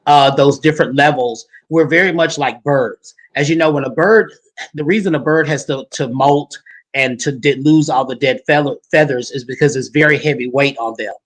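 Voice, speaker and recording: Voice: medium pitch, moderate pace, rough recording. A talk show host in their fifties chatting casually with a guest, sassy and folksy, repeating points for emphasis. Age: 50s.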